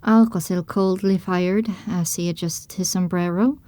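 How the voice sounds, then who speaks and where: A woman reading scripted first-person narration as the heroine of an audio drama, recounting her dark adventure. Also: on the low side, fairly even intonation, measured pace, no room sound, natural voice. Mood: somber recounting.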